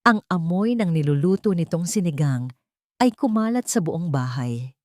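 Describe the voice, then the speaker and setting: A woman's voice: lowish voice, lively intonation, moderate pace, darkish tone, no room sound, studio quality. A woman giving a dramatic scripted narration of a love story.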